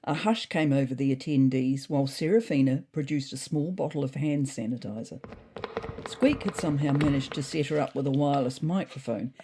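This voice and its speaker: A woman's voice: a bass voice, a little fast, warm tone, slightly rough, full character voice. A woman voicing a thoughtful, slightly neurotic cat reading out a comic diary, dry and mildly exasperated. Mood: dry, wry. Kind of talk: scripted comic diary narration.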